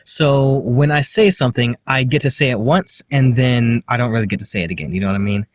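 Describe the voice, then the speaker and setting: A man with a slight lisp, talking to the camera in a halting, hesitant way, full of fillers and restarts. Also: mid-range voice. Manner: quiet, self-deprecating. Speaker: vlogger. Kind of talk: casual self-recorded monologue.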